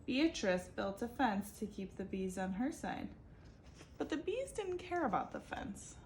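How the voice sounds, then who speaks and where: A woman's voice: a little high, very animated, a little slow, darkish tone, slight background noise. A woman reading a picture book aloud expressively, stopping to ask her young listeners questions. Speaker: reader for children.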